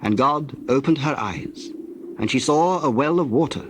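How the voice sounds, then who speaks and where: A man narrating scripture from a script in a solemn, measured manner. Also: mid-range voice, lively intonation, slight background noise.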